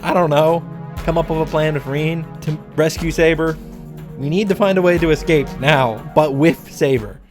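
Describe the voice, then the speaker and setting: A man's voice: fairly high pitch, moderate pace. A man giving casual, sweary, exasperated commentary as he reacts to a visual novel. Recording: music behind the voice. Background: music.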